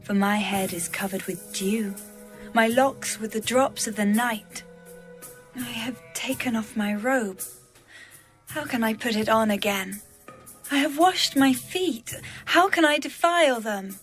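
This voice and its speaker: Woman playing a slow, yearning bride over music. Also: fairly high pitch, a bit bright. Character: lovesick young bride from ancient scripture, yearning. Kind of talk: dramatized audio Bible reading.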